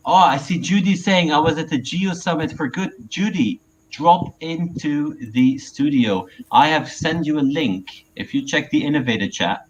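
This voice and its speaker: Man presenting on a dull, noisy call. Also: medium pitch. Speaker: co-host and presenter. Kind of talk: live webinar presentation and chat. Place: small indoor room at home, video call. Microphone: wired earphones on a video call.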